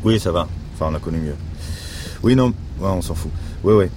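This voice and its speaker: An actor playing an emotional, earnest police investigator who talks in a colloquial, hesitant, defensive way in a naturalistic audio drama. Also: male voice, sits low, animated, fairly quick, background noise. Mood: irritated, insistent. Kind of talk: naturalistic audio fiction dialogue.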